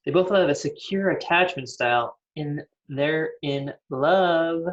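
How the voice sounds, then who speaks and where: A man in a sitcom table read playing an awkward, self-satisfied boss with a halting, casual delivery. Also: a little high, close to an everyday voice. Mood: placating, pleased. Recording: slightly muffled, dull audio.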